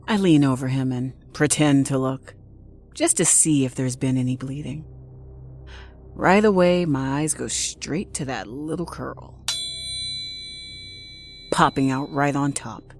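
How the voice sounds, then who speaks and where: A woman's voice: rumbling low, a little slow, long pauses, darkish tone, slight background noise. A woman playing a guarded, scheming nurse who narrates her revenge tale in an intimate, suspenseful audio drama style. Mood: tense, suspenseful. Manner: intimate, suspenseful, acted delivery. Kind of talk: dramatic first-person audio fiction narration. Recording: dramatic music behind the voice.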